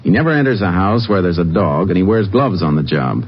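A cool, methodical Texas Ranger speaks low and fast, matter-of-fact throughout. The old radio drama recording is muffled. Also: male voice, lively intonation, slightly rough. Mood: calm, matter-of-fact. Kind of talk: 1950s radio drama acting.

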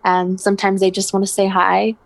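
Woman with a gravelly fry, casual storytelling, dry close sound. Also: medium pitch, completely flat delivery, fairly quick, dry recording. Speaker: guest.

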